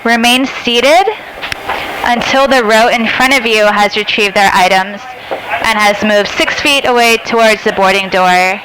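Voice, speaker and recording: A woman's voice: a little high, steady tone, unhurried. Female flight attendant over noisy cabin PA. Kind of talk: formal cabin announcement. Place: inside an airliner, over cabin PA. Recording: noisy aircraft cabin PA system.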